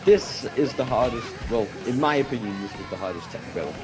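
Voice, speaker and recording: A man's voice: medium pitch, expressive, quick pace. A man giving casual, conversational commentary during a group video game playthrough. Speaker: gaming commentator. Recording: game music behind voice.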